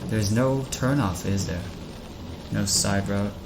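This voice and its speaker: A man acting in an audio drama as a lonely, heartsick driver lost at night, speaking in a halting, questioning way. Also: on the low side, noisy background. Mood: anxious, resigned.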